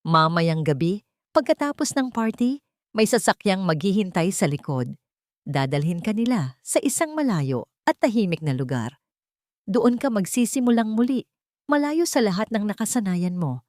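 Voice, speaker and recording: Woman voicing a cold, menacing scheming man, animated. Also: mid-range voice, moderate pace, no room sound, clean studio sound, close to an everyday voice. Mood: cold menace. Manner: threatening, taunting. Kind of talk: audio story character dialogue.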